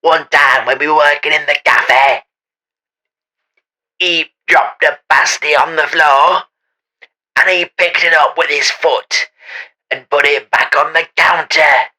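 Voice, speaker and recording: Man playing a forceful, bitter British ex-cafe worker. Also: on the high side, steady tone, slow, pauses often, pitched above the performer's own voice, heavily acted, poor audio. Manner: loud, forceful, gossipy. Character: bitter, indignant male former cafe worker.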